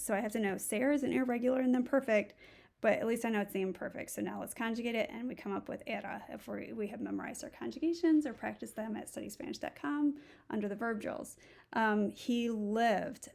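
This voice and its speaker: A woman's voice: a little high, gravelly, darkish tone. A middle-aged woman teaching Spanish, a native English speaker, who thinks aloud and walks through each step of a recorded screen lesson. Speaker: Spanish instructor.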